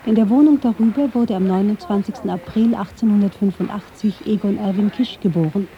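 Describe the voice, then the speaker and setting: A woman reading scripted narration for a radio feature in a measured, literary way. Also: mid-range voice, highs cut off. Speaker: documentary narrator.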